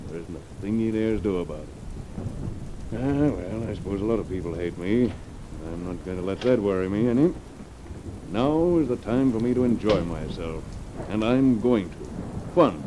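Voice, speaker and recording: An actor playing an arrogant, scheming tycoon and killer in an old radio drama, domineering and theatrical. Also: male voice, lowish voice, animated, moderate pace, natural voice. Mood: gloating. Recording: noisy old radio recording, rain and thunder.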